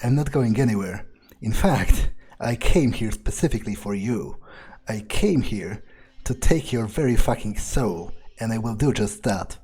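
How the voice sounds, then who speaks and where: A man voicing an arrogant, menacing vampire predator in improvised video game roleplay, with a halting, smug, contemptuous drawl. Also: fairly low pitch, animated, warm tone, husky. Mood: taunting.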